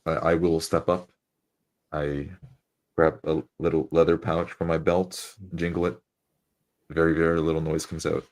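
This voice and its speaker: A man's voice: extremely low pitch, level tone, moderate pace, long pauses, a little mellow. A man who is a tabletop game player, describing his character's actions in the first person.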